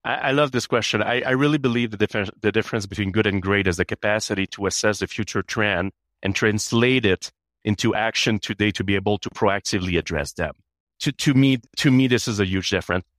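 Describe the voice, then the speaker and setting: A man's voice: on the low side, a little fast, a bit bright, no echo. Earnest man. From Canada. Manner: earnest, repeats words as he restarts. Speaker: guest, chief people officer. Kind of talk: business interview.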